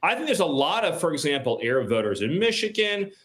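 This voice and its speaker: A man's voice: a little high, big pitch swings, fast talker, fairly bright tone. A man appearing as a guest commentator on a political show, arguing his points firmly and repeating words for emphasis. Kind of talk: political argument on TV.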